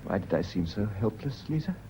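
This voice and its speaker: Animated, coaxing British schoolmaster, lively pitch. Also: male voice, a little fast. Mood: tender, teasing. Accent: British English. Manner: persuasive, reassuring, by turns tender and exasperated. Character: earnest schoolmaster, coaxing, reassuring lover with a nervy edge. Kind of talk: British stage-style radio drama acting. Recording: dull, hissy old radio recording.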